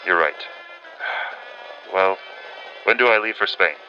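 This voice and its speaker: An actor playing a wry, reluctant but dutiful 1940s radio correspondent in an audio drama, with old-fashioned announcer phrasing. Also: male voice, low-pitched, slow. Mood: reluctant, resigned. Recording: dull band-limited audio with music behind.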